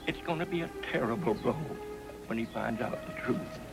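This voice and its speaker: Casting: male actor as old frontier miner, American, folksy and hesitant, mid-pitched, vintage Western with score behind. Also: normal speed, creaky, over music, close to an everyday voice. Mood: dejected. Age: older. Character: regretful, folksy old miner grandfather in the Old West. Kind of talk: 1950s TV Western acting.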